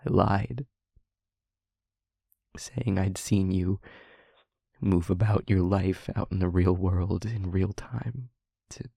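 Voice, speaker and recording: A man playing a tormented, remorseful demon in love, in an intimate audio roleplay, speaking haltingly in a breathy, husky, often whispered voice and pleading. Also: on the low side, dark tone, no room sound, studio quality, exaggerated voice. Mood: pained, regretful. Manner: slow, halting, emotionally pleading.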